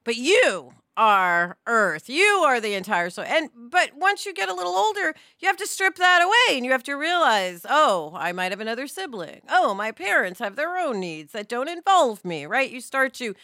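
A woman hosts a casual, reflective discussion in a crisp, bright voice that sits fairly high. She talks conversationally, tossing out rhetorical check-ins, in a very dry recording. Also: very animated, moderate pace, hardly any pauses, no echo. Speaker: discussion host.

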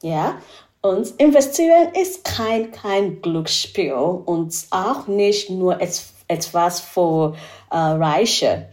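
A woman who is a native English speaker, speaking accented German haltingly and searching for words as an interview guest. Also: on the low side, expressive, a little mellow. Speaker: podcast guest, financial adviser. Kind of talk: interview answers in a second language.

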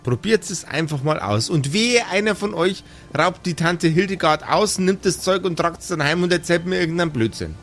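A man puts on a scolding country mother for a fantasy audio play. The voice is pitched up, with a folksy Bavarian-Austrian dialect and a bossy, admonishing manner. Music plays clearly behind it. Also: animated, normal speed, few pauses, fairly bright tone.

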